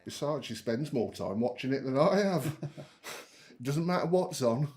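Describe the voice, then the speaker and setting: A man's voice: mid-range voice, expressive, moderate pace, a little mellow, natural voice. An actor playing a proud, chatty middle-aged working-class father in a naturalistic audio drama, homely and enthusiastic.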